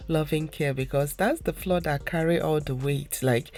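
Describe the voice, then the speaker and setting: A woman's voice: low voice, normal speed, a little mellow. A young woman narrating a home cleaning vlog in a casual, chatty way, with a stuffy nose. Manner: chatty, repetitive, addresses viewers as guys. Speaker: home vlogger.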